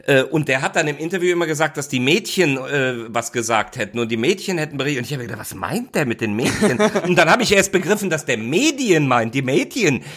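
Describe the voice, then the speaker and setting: A man's voice: a little high, very animated, quick pace, hardly any pauses, fairly bright tone. A man hosting a casual football talk, emphatic and opinionated, with frequent hesitant fillers.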